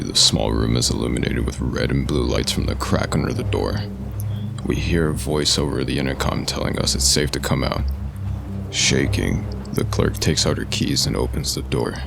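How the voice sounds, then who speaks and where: A man with a very deep, gravelly voice reading a scripted first-person horror story as its narrator.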